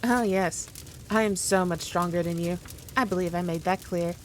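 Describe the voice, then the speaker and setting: A woman's voice: on the low side, close to an everyday voice. Woman voicing a haughty demon queen, noisy recording. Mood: teasing, superior. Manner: condescending, theatrical, deliberate with drawn-out phrases. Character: powerful demon queen, haughty, teasing, dominant, toying with her foe. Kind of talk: scripted ASMR roleplay addressed to the listener.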